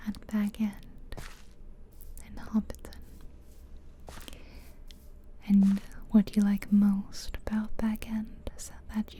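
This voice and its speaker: Female ASMR guide: breathy, soothing whisper, very flat delivery, extremely unhurried, short phrases with pauses, close-miked. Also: medium pitch, a little hiss or hum, rough recording. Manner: slow, soothing, pausing between short phrases. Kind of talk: soft-spoken whispered ASMR guided visualization. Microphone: close stereo ASMR microphone. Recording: close ear-to-ear mic, music behind.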